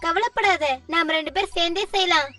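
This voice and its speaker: A woman's voice: extremely high pitch, brisk. A woman voicing a cheerful, helpful magical little boy in a children's cartoon, perky and confident. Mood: cheerful, reassuring. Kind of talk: Tamil-dubbed children's cartoon acting. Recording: slightly distant, music behind.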